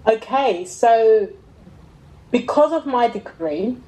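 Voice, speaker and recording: A middle-aged woman telling her own story in an interview, talking conversationally in a reflective, halting way and often rephrasing. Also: on the high side, lively intonation, warm tone, rough recording. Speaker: interviewee, fashion entrepreneur.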